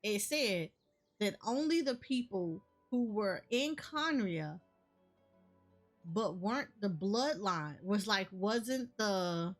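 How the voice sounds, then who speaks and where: Female gaming streamer, casual and unhurried, repeating phrases as she thinks aloud, game music underneath. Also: medium pitch, animated. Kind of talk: casual game reaction commentary.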